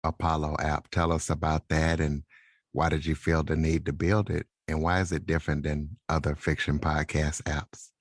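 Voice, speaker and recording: A man's voice: a bass voice, little pitch movement, normal speed, no echo, clean studio sound. A man hosting a podcast interview, asking questions in a casual, conversational style.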